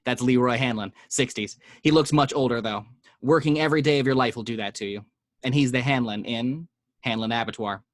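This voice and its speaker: A man narrating the action lines of a screenplay at home, with vivid, measured delivery. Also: medium pitch, no room sound, studio quality. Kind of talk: screenplay reading.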